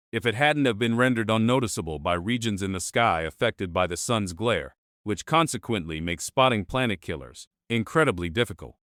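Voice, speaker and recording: Man playing solemn official, lowish voice, measured rhetorical speech, disaster drama address, dry close sound. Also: fairly bright tone, dry recording. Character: solemn male government spokesman addressing the nation about doom. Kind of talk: formal dramatic televised speech.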